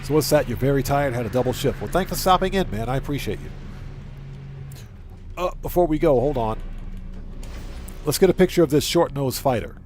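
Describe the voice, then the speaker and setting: A man's voice: medium pitch, expressive, a little fast, long pauses, darkish tone, a little hiss or hum. A man hosting a casual gaming livestream, thinking aloud, repeating phrases and chatting with viewers. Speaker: livestream host. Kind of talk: casual gaming livestream commentary, greeting chat. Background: music.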